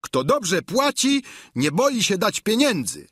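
A male reader puts on a wily peasant squire from a storybook, pitched well up and swooping about. He grumbles, whines and haggles in loud exclamations. The voice is a little husky. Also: very animated, takes it slowly, no room sound, clean studio sound, full character voice. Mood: haggling, complaining.

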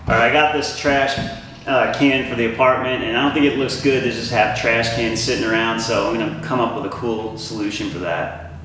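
A man's voice: mid-range voice, fairly even intonation, fairly quick, background noise. A man narrating a DIY renovation vlog in a casual, informal way, explaining things hands-on as he works. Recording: echoey room, music underneath.

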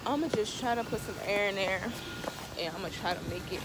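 A woman's voice: mid-range voice, fairly quick, over loud music, rough recording. A young woman vlogging on her phone outdoors by her car in the cold, frustrated and swearing as she talks to her viewers. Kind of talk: casual vlog. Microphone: phone camera held low. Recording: loud outdoor noise.

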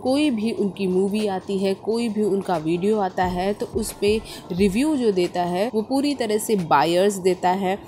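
A woman's voice: medium pitch, measured pace, hardly any pauses, warm tone. A woman presenting entertainment gossip news as a scripted narration, explaining and restating points with emphasis. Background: background music.

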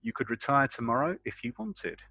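A man's voice: mid-range voice, sing-song, brisk, gravelly, pushed and bright, slightly rough. A man reading his character sheet aloud as a player in an online tabletop game. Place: indoors at home, online video call.

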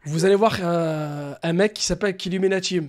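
High-pitched young man live streaming. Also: fairly quick. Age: young adult. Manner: slangy, animated, swearing. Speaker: prank call streamer. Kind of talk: casual live stream chat with crude prank storytelling. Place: small room at home.

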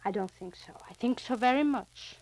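A woman's voice: fairly high pitch, moderate pace, a little mellow, close to an everyday voice. An actress playing an anxious, earnest middle-aged mother in a 1940s radio serial, hesitating and repeating her phrases. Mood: earnest pleading. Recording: crackly 1940s radio transcription disc.

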